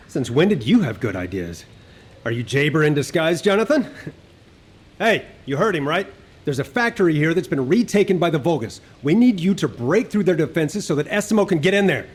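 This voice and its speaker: A man's voice: fairly high pitch, very animated, normal speed, slightly rough. An actor playing an upbeat, teasing male squad leader in a video game cutscene. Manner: teasing, commanding.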